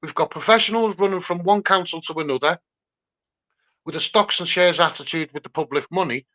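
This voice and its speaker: Forceful Scouse man, slightly dull recording. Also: sits high, fairly quick. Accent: Scouse (Liverpool). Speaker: campaigner. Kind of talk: impassioned protest talk.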